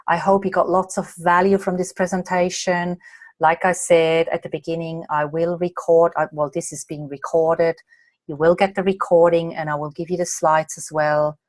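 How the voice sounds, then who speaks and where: A slightly hoarse woman from Australia, a coach answering viewer questions in a chatty way during a live online masterclass late at night. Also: on the low side, a little mellow, no room sound. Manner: chatty, reads out viewer comments and answers them. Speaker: coach and presenter.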